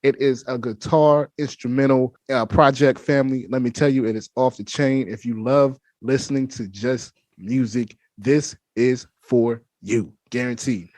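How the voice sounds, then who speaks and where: A male podcast host talks with eager, hyped energy and repeats his phrases as he hypes things up for his listeners. His voice sits at an ordinary middle pitch, and the home studio gives it a close, dry sound with no room echo. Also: fairly even intonation, unhurried, hardly any pauses, a little mellow. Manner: hyped, repeats himself, calls listeners family. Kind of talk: casual interview chat.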